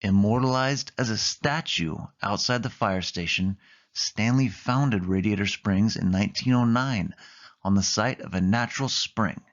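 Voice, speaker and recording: A dull, muffled recording carries a man reading a children's picture book aloud. His voice sits on the low side, in a storytime narration style. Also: measured pace. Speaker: storybook reader.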